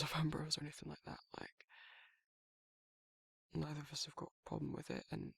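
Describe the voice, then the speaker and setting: Guy doing a werewolf love interest, husky and kinda flat, awkward, gentle, protective, asmr roleplay. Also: on the high side, dry recording, clean studio sound, exaggerated voice. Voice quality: gravelly, husky. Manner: halting, awkward, softly reassuring. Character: shy, protective, tender young male werewolf in love. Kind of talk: romantic ASMR audio roleplay, intimate and conversational.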